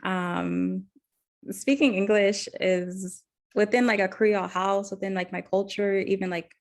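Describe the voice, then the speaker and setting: A woman in her twenties plays the counselor in a mock intake conversation. Her delivery is halting, full of fillers and self-corrections, at a middling pitch for a woman. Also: steady tone, vocal fry. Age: 20s. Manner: hesitant, heavy on fillers and restarts. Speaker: counselor in a practice session.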